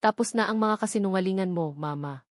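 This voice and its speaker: A woman's voice: mid-range voice, fairly quick, no room sound, clean studio sound, natural voice. A woman voicing a determined adult son, a doctor, in a story, with a firm, serious manner. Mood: calm, firm.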